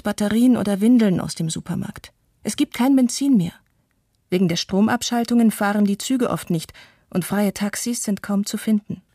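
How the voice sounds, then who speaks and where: A mellow, slightly husky female voice in a dry recording plays a shaken but resolute mother acted by a woman. She tells her testimony in a measured, sober radio play monologue. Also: mid-range voice, no echo, lightly acted.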